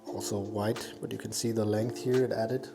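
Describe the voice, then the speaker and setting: A man with a Danish accent giving a casual hands-on tutorial, haltingly thinking aloud as he works. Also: lowish voice, flat delivery, creaky, over music. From Denmark. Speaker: fly tying instructor and YouTuber.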